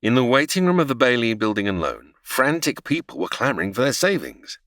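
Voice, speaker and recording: An actor playing a patient, explanatory narrator in a comedy audio drama, telling a classic story. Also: male voice, medium pitch, very animated, a little fast, a bit bright, no echo, studio quality. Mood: narrating.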